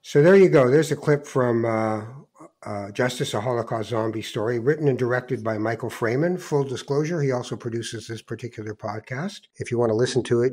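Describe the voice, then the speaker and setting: A man's voice: mid-range voice, a little mellow. A man hosting a podcast in a casual interview style, introducing clips and questioning his guest. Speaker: podcast host.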